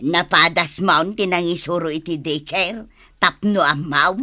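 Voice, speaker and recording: A woman's voice: fairly low pitch, steady tone, unhurried, pushed and bright. An actress playing a sensible village woman who scolds a gossip in an Ilocano radio drama, earnest and reproving.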